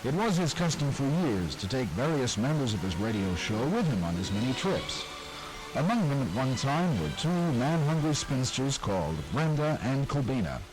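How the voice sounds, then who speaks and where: A man narrates a formal introduction to an old radio segment. His delivery is measured and scripted, yet his intonation rises and falls in a lively way, with faint music behind him. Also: mid-range voice, rough recording. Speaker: announcer.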